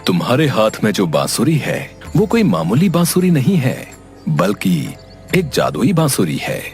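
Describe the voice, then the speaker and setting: Slow, solemn wandering holy man, played by a man. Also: medium pitch, huge pitch swings, a little mellow, faint music behind. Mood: calm, mysterious. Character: calm, mysterious wandering holy man with magic flute. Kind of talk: Hindi animated story dub.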